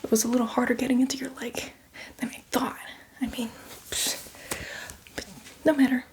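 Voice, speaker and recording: A woman's voice: a little high, flat delivery, moderate pace, darkish tone, close to an everyday voice. A woman playing a hardcore, teasing zombie mentor in a whispered, coaxing ASMR roleplay with playful asides. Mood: coaxing, reassuring.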